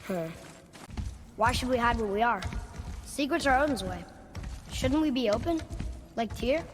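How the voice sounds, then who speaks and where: High-voiced, headstrong young boy. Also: animated, unhurried, slight background noise. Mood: questioning. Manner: blunt, youthful, insistent. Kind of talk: dramatic video game acting.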